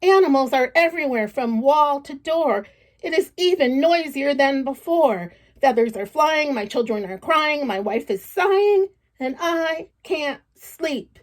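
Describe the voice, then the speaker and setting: A woman's voice: high-pitched, expressive, measured pace, voice pushed up. A woman voicing an exasperated, sleepless husband in a storybook, complaining emphatically and pleading.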